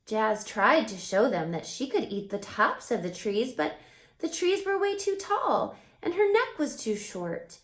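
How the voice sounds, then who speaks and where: A woman reading a children's picture book aloud as a teacher, expressively, pausing to put questions to young listeners. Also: on the high side, sing-song, reverberant.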